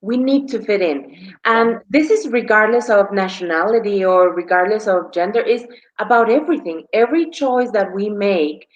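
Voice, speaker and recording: A woman's voice: mid-range voice, normal speed, muffled recording. A woman hosting an interview show on a video call, thanking her guest effusively and speaking with frequent fillers. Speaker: show host.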